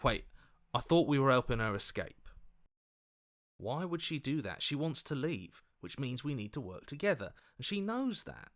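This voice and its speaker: Earnest, anxious game hero, swooping pitch. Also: male voice, very animated, moderate pace, a bit bright. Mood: anxious, bewildered. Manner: earnest, questioning, protesting. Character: earnest, anxious heroic inner voice, male. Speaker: game voice actor. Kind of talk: dramatic game voice acting.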